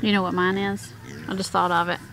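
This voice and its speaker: A woman's voice: on the low side, flat delivery, fairly quick, fairly bright tone, rough recording. Chatty woman filming outdoors on a farm. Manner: rambling, many fillers and restarts. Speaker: homestead vlogger. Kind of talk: casual chat. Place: outdoors on a rural homestead.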